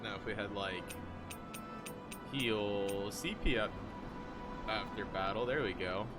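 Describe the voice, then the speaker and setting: A man's voice: lowish voice, takes it slowly. A man giving casual, laid-back video game commentary, thinking aloud and swearing as he plays. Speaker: let's play host. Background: video game music.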